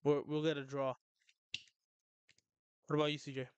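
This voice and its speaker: Young man, excitable streamer, rambling, smooth clear voice, slightly high, dry close sound. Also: moderate pace, dry recording, dull audio. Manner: excitable, rambling, trips over words. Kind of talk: casual livestream chat.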